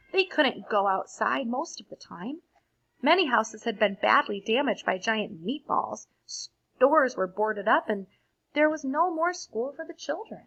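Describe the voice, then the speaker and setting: A woman reading a storybook aloud expressively for children, like a teacher pausing to explain things to young listeners. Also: a little high, gravelly, fairly bright tone, breathy edge, lo-fi sound.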